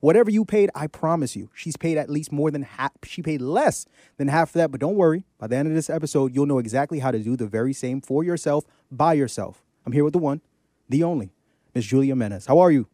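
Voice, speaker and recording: A man hosting an interview podcast, rattling along at a quick clip with big showman energy and easygoing slang. His voice is warm and mellow, and the sound is dry and close. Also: on the high side, expressive, no echo. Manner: casual slangy talk. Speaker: podcast host.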